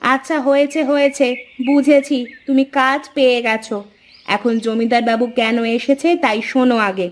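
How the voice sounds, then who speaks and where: High-pitched scolding cartoon wife played by a woman. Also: little pitch movement, moderate pace, a little hiss or hum, close to an everyday voice. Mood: impatient. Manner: curt, nagging. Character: scolding, impatient village wife. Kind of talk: cartoon fairy-tale voice acting. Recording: music bed under voice.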